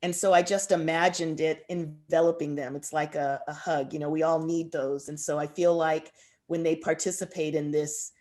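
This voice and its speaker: A woman speaking as a guest author, reflective and expressive, deliberately drawing out words for emphasis. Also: low voice. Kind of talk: reflective interview answers and poetry reading.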